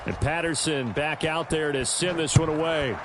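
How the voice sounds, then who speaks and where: A man's voice: a little high, normal speed, a crowd in the background, noisy background, close to an everyday voice. A man acting as an American play-by-play football announcer in a video game, calling plays in clipped, punchy phrases. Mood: brisk, engaged. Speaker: play-by-play sports commentator.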